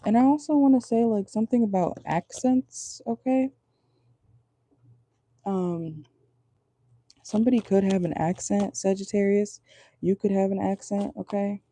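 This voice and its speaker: A woman with a low voice giving a casual tarot reading from home, chatty and rambling, often checking in with her viewers. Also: measured pace, long pauses, warm tone. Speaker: tarot reader. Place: at home indoors at night.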